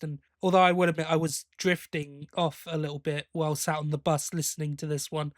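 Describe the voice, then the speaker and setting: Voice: medium pitch, no echo, clean studio sound. A podcast host in casual review chat, opinionated and emphatic in complaint.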